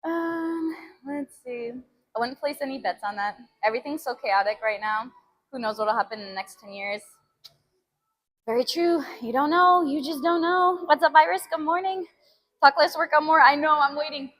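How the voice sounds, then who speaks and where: A woman chatting casually with live viewers as a fitness stream host, giggly and animated as she talks between sets. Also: sits high, moderate pace. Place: gym, during a workout.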